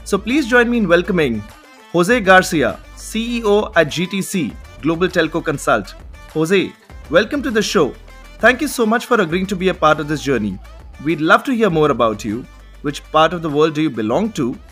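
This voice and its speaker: A man's voice: a little high, very animated, moderate pace, a bit bright, husky, faint music behind. A man hosting a podcast, giving polished introductions and guiding the conversation. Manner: polished, welcoming introductions with frequent "you know". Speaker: podcast host.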